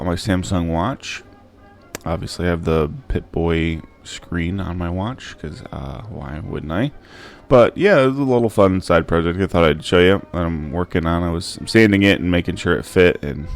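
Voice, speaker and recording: A man with a deep, low voice narrates a casual how-to. His delivery is informal and hesitant, stopping and starting as he explains each step. Faint music plays behind him. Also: a little mellow. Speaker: DIY prop maker and channel host.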